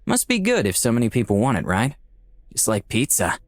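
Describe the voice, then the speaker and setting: A man's voice: mid-range voice, huge pitch swings, brisk, dry recording. A man playing a skeptical, dryly sardonic dead man in Hell, narrating a visual novel in a wry, conversational way.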